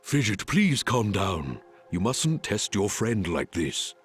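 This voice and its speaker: An actor voicing a wise lizard-like elder in a video game, gentle and formal. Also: male voice, on the low side, sing-song, slow pace, music in the background. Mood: calm.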